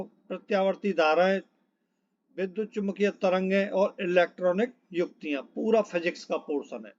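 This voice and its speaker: Male exam tutor with a notably high voice, instructional and repetitive, informal delivery, on a dull low-fidelity recording. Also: a little mellow. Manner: instructional, repeats points and checks in often. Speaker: exam coaching teacher. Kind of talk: informal syllabus walkthrough, reading out topic lists.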